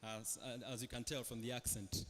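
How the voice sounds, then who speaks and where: A man's voice: mid-range voice, level tone, a little fast, crowd noise. A man with a Ugandan accent moderating a panel, hesitant with many fillers. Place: large hall. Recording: reverberant hall with faint ambience.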